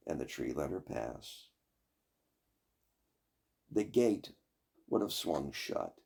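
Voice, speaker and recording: An older man reading a fairy tale as a storyteller, dramatic and deliberate in his narration. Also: sits low, vocal fry, darkish tone, husky.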